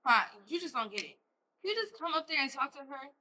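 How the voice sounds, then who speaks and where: A woman's voice: high-pitched, very animated, a little fast, bright, forceful tone, exaggerated voice. A woman playing a defiant, exasperated sixteen-year-old American schoolgirl in a stage play, arguing in slangy, defensive speech.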